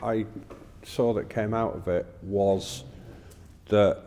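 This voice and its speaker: A plain-spoken man talking haltingly in a casual discussion of faith, recorded with long reverb. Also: lowish voice, fairly even intonation, warm tone, slight background noise.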